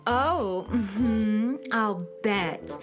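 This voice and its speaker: A snooty young female sidekick, with a medium-pitched voice dripping with sarcasm. She is jealous and boastful, in children's radio theater style over prominent music. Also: extremely slow. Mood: suspicious, sarcastic.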